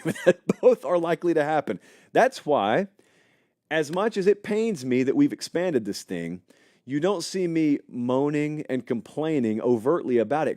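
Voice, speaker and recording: A man's voice: fairly high pitch, dry recording, clean studio sound. A man hosting a live college football talk show, chatting casually and speculating aloud while answering viewers in the live chat. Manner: animated, conversational, speculative, addresses live chat.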